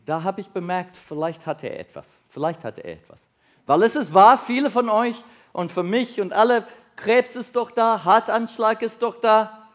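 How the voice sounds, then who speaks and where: A South African man with an English-speaking accent preaches in halting German, slipping in grammar as he goes. His voice sits high and swings widely up and down. He keeps turning to his listeners with questions. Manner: halting non-native German with slips, asks the audience questions. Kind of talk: sermon to a congregation.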